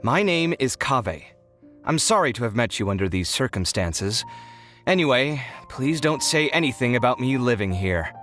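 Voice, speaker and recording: A man's voice: medium pitch, very animated, moderate pace, fairly bright tone, faint music behind. A man playing a flustered, easily offended young man in a video game, talking in a fretful, theatrical way. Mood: awkward, apologetic.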